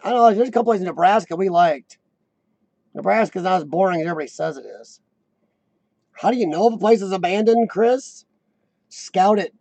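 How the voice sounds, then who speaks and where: A man in his forties hosting a casual live chat, with a high-pitched squeaky voice worn by coughing, who often clears his throat and restarts his sentences. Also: fairly quick, pauses often, a little mellow, no echo. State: voice worn from lung illness and coughing. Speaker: host of a live chat show. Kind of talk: casual live-stream chat reading viewer comments.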